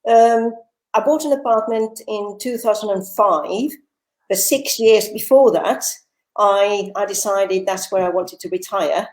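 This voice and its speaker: A woman's voice: medium pitch, unhurried, a little mellow, roomy sound, low-quality recording. Older Finnish-born woman, chatty guest. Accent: Finnish-tinged British English. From Finland. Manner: chatty, articulate, with repeated word restarts. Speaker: guest, author and retired teacher. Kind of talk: conversational interview.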